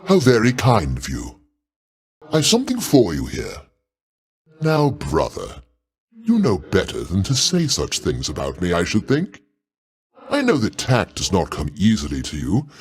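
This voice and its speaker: An actor playing a proud, paternal older god in a video game, speaking in a pompous, avuncular way with formal, theatrical diction. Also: male voice, on the low side, huge pitch swings, unhurried, heavily acted. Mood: gracious, then condescending. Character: grandiose, paternal older male Greek god, proud, easily offended.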